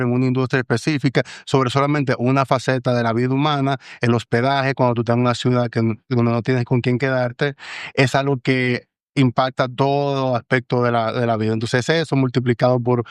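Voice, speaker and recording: A man in his thirties with a Dominican accent, giving measured, explanatory answers as a guest on an interview podcast, pausing often with "eh". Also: mid-range voice, smooth, dry recording, studio quality. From Dominican Republic. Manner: measured, explanatory, frequent "eh" pauses. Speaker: guest, AI governance expert.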